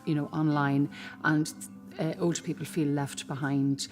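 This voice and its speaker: A woman's voice: deep voice, level tone, normal speed, music in the background. A woman who tutors adults, talking conversationally and with understanding about older learners in an interview. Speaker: community college tutor. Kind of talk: documentary interview.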